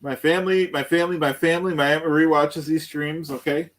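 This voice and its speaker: A man's voice: fairly high pitch, level tone, fairly bright tone, lightly acted. A man playing an aggrieved, self-important wiseguy who interjects officiously and repeats himself, in improvised comedy banter. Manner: halting, repetitive, officious interjections. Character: self-important aggrieved rival wiseguy Griff, fussing over his reputation.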